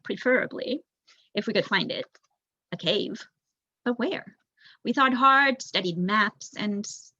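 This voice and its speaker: A woman's voice: mid-range voice, bright, forceful tone, breathy edge, no room sound, muffled recording. A young woman reads a storybook aloud as narration, expressively, with an occasional stumble. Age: young adult. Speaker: volunteer audiobook reader.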